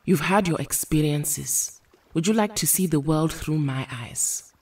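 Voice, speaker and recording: Woman acting Storm, low husky noble heroine. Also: a little mellow, far from the mic, exaggerated voice. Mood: earnest. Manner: formal, measured wording. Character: Storm, serene, noble weather-goddess superheroine. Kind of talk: audio drama acting.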